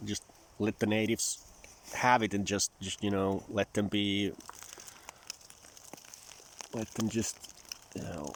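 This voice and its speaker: A man's voice: lowish voice, little pitch movement, slow pace, long pauses, a little hiss or hum. A man from Finland who speaks English with a Southern US-tinged accent, rambling casually and in a folksy, profane way in a vlog. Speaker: vlogger.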